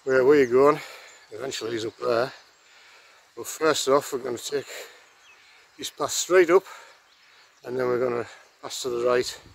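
A man's voice: medium pitch, measured pace, creaky. A man narrating his fell walk casually on a camera mic outdoors, chatty and pointing out the views. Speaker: hiker filming his walk. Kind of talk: casual walking vlog narration. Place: outdoors on open fell paths. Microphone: camera mic, windy. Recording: faint outdoor noise.

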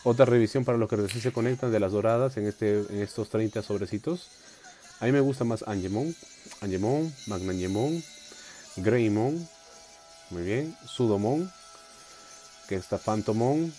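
A man's voice: on the low side, mellow. Upbeat man hosting a live unboxing. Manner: upbeat, chatty, reads viewer comments aloud. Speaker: collector livestream host. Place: indoors at a table.

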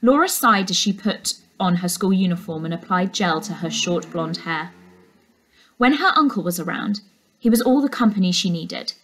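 A young woman reading a children's novel aloud as a teacher, in an expressive storytelling style. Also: on the low side, moderate pace, poor audio. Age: young adult. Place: furnished indoor room.

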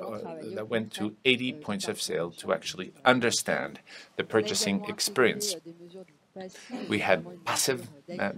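A man giving live English interpretation of a panel discussion, halting with frequent restarts and fillers. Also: on the low side, creaky. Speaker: simultaneous interpreter voicing panel speakers.

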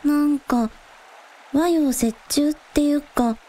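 A woman's voice: high-pitched, takes it slowly, mellow. A woman playing a casual, blunt young village idol in a radio drama, with breezy, slangy, matter-of-fact delivery. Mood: curious, casual. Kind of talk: Japanese radio drama acting.

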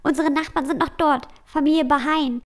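A woman's voice: high-pitched, steady tone, normal speed, close to an everyday voice. A woman playing a panicked, pleading talking speck of light in a fantasy audio drama. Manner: urgent, pleading.

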